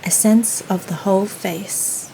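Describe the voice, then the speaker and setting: A woman leading a guided yoga nidra meditation in a soothing, gentle, unhurried manner. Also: mid-range voice, rough recording. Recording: faint steady ocean noise behind. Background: ocean waves ambience.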